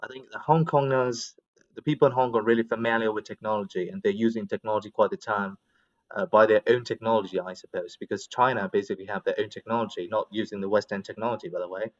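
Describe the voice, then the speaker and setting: A man's voice: fairly low pitch, fairly even intonation, fast, highs cut off. A man chatting in an English conversation session, explaining his opinions in a wordy way as he searches for words. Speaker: English conversation practice participant. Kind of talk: casual chat, explaining opinions.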